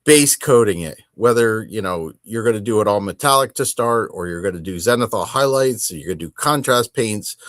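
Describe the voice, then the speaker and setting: A man's voice: on the low side, expressive, brisk, few pauses, fairly bright tone, dry recording, studio quality. A middle-aged man hosting a casual solo hobby podcast, talking in an informal, rambling way with emphatic turns of phrase. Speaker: podcast host.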